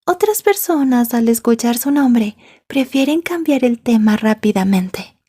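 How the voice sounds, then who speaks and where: Woman acting obsessive yandere girl, ASMR drama, slow, breathy, soft, jealous, condescending menace. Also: a little high, expressive. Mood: sinister, taunting. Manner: taunting, drawn-out, slipping into whispers.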